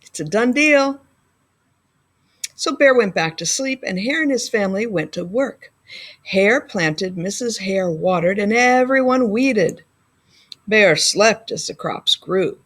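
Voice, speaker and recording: A woman's voice: medium pitch, animated, unhurried. A woman narrating a children's picture book expressively, as a school librarian reading aloud.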